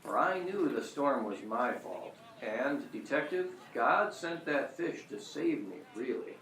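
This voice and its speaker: A man's voice: mid-range voice, very animated, measured pace, fairly bright tone. A man playing a repentant but brooding Hebrew prophet in an amateur audio drama. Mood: friendly, sincere. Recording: roomy, distant, noisy amateur recording.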